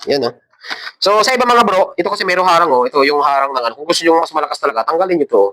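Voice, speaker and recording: A man's voice: on the high side, expressive, racing, bright, forceful tone. A man with a Filipino accent hosts an informal tutorial, talking casually and instructing step by step as he handles parts. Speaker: tutorial host. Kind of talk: casual hands-on tutorial talk.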